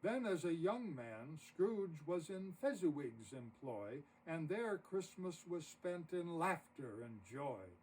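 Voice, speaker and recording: A man narrating a rhymed story poem expressively, with dramatic, deliberate phrasing. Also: on the high side, sing-song, a little mellow. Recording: distant mic with audible noise.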